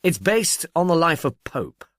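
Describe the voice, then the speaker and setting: A man voicing an irritable, witty professor of English in an audiobook, brisk and dryly emphatic. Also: a little high, big pitch swings, fairly bright tone, no room sound, clean studio sound, voice pushed up. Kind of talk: audiobook character dialogue.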